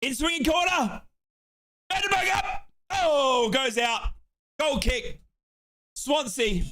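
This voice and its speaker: A man hosting a live fan watchalong, calling a football match in excitable play-by-play and chanting. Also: very high voice, slow. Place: home office.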